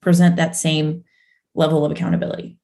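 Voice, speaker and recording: A woman's voice: deep voice, flat delivery, brisk, gravelly, dark tone. A young adult woman co-hosting a fitness podcast, talking casually with lots of fillers and restarts. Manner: chatty, full of fillers and restarts. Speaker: podcast co-host. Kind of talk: casual chat.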